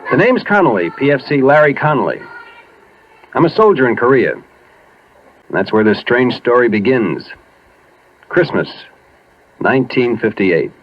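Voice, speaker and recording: Casting: weary young GI storyteller, expressive delivery, dull fifties radio sound over music. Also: male voice, mid-range voice, normal speed, long pauses, noisy background. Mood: haunted, wry. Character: weary young American army private telling strange tale. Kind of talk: 1950s radio drama narration. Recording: dull 1950s radio recording, music behind.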